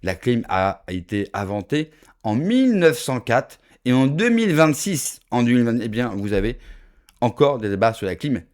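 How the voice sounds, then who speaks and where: A man's voice: medium pitch, big pitch swings, normal speed, darkish tone. Emphatic French-born man ranting to viewers. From France. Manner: emphatic, rhetorical, addresses viewers directly. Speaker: political video commentator. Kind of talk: opinion monologue to camera.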